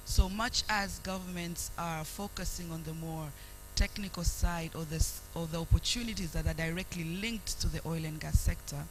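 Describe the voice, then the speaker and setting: A woman from Tanzania with a Tanzanian accent, giving an earnest, measured talk as a panelist at a conference. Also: lowish voice, soft background music, poor audio. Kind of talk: panel presentation.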